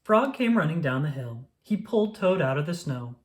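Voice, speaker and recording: Animated children's story narrator, mid-pitched. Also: normal speed. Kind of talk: read-aloud scripted story narration.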